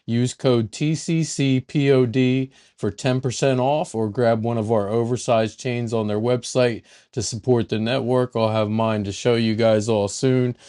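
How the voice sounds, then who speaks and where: A man's voice: mid-range voice, a little mellow. A man hosting a sports podcast, chatting casually and rambling through sponsor reads and intros. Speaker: podcast host.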